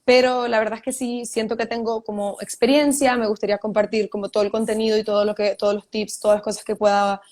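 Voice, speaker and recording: A woman's voice: mid-range voice, flat delivery, fast talker, hardly any pauses, darkish tone. A young woman with a Venezuelan accent, telling her story as a guest on a casual video call, chatty and laughing often. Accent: Venezuelan Spanish. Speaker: guest writer. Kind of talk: casual live video chat.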